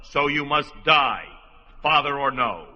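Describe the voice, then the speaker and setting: An actor playing an arrogant, power-hungry young sorcerer with a haughty, defiant manner. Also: male voice, fairly high pitch, lively intonation, measured pace, bright, forceful tone, full character voice. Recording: long reverb, dull radio play with music.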